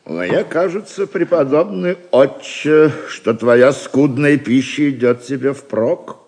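An actor playing a bold, good-humored knight with a wry, courtly, bantering manner in an audio drama. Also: male voice, mid-range voice, slow pace, a little mellow. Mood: teasing. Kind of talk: theatrical audio drama acting.

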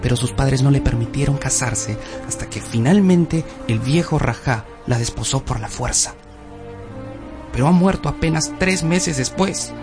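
An actor playing a wry, knowing guide in a radio drama, deferential and given to storytelling. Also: male voice, medium pitch, expressive, normal speed, close to an everyday voice. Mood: wry, explanatory. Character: knowing, wry local male guide, deferential to his master. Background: music.

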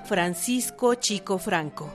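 A woman narrates a historical radio drama from a script. Her voice has a medium pitch, and music plays clearly behind her. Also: expressive, slow pace.

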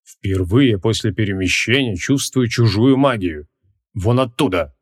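A man voicing an imperious, scornful ancient mage in an audiobook, curt and commanding, with a hoarse edge. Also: on the low side, expressive, measured pace. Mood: hoarse, intent.

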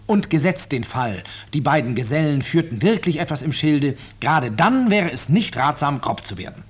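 An actor playing a polite, probing, drily ironic narrator in a 1950s radio drama, speaking in a measured, urbane way. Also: male voice, fairly high pitch, sing-song, husky. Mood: ironic, narrating. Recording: noisy 1950s radio recording with clicks.

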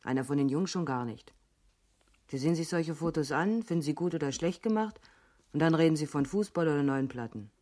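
A woman's voice: low voice, animated, a little fast, dark tone. An actress in a radio crime drama playing a cool, outspoken younger police detective, composed and pointed in how she speaks. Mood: cool, matter-of-fact.

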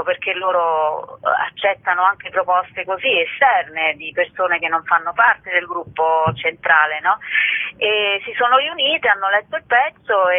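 A woman's voice: lowish voice, dry recording. A woman being interviewed as an actress, talking casually over a narrow telephone line. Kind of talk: casual radio phone interview. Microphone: phone.

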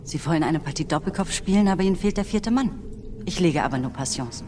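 An actress playing a sharp-witted, defiant young woman with a dry, ironic, precise manner in a German radio drama. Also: lowish voice, animated, fast talker, background noise, lightly acted. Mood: defiant irony.

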